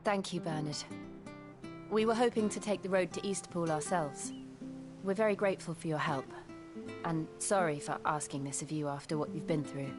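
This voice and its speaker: A woman's voice: deep voice, moderate pace, music in the background, lightly acted. An actress playing a composed, courteous young noble lady in a fantasy video game, polite and well-spoken. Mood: kind, courteous.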